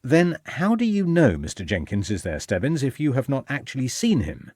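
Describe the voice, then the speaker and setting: Male reader as storybook detective inspector: man, brisk, formal, in command, lively intonation, dry close recording. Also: fairly low pitch, husky, no room sound. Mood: brisk, impatient. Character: brisk, authoritative Victorian police inspector, male.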